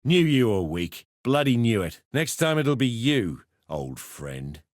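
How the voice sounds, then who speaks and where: A man's voice: mid-range voice, big pitch swings, a little slow, dry recording, clean studio sound. A man voicing a roguish arena fighter and pitmaster in a video game, with cheeky, slangy, theatrical tough-guy talk. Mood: bitter, defiant. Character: roguish adult male arena fighter and pitmaster turned syndicate member. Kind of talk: video game character voice lines.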